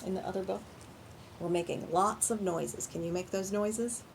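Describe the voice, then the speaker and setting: A woman reading a picture book aloud to young children in an animated storytime style, pausing to ask questions and explain things simply. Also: mid-range voice, gravelly, a little hiss or hum. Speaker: children's librarian and storytime reader.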